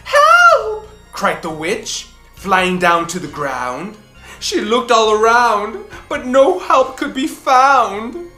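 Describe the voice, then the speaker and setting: A woman hosting a children's book club, reading aloud expressively with theatrical, deliberate emphasis. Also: mid-range voice, animated, sharp tone. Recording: roomy echo, faint music behind.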